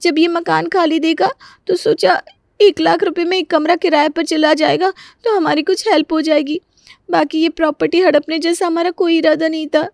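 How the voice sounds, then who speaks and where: A woman voicing an indignant, sharp-tongued married landlady who scolds and exclaims in a comic story. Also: extremely high pitch, fairly even intonation, moderate pace, creaky, no echo, studio quality. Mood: flustered. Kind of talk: Hindi comic story dramatization.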